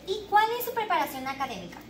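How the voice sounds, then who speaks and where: High-voiced young woman hosting an interview. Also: sing-song, fast. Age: young adult. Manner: formal, scripted questioning. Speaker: interviewer, show host. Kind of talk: scripted mock interview. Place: small bare indoor room with tiled floor. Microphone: far camera. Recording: echoey room, distant mic, background hiss.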